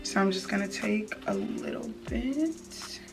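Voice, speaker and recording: A woman's voice: medium pitch, wildly swooping pitch, measured pace. A young adult woman chatting casually and playfully in slangy speech, like a beauty vlogger giving a hair tutorial. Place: indoor room, plain wall backdrop. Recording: slightly distant mic, faint music.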